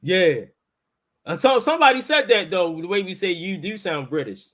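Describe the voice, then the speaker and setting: A man from Baltimore with a clearly high-pitched voice and the local accent. He hosts in a loose, colloquial style, explaining and pressing his point as he goes. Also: lively intonation, moderate pace, a bit bright. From Baltimore, United States. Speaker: host, linguistics teacher. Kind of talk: casual live talk with debate.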